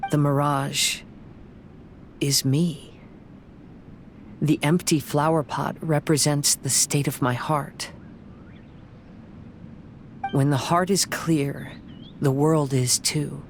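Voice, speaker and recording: An actor playing a serene young wandering samurai poet in a game, speaking gently in a measured, poetic way. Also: male voice, a little high, fairly even intonation, gravelly, slight background noise. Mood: reflective.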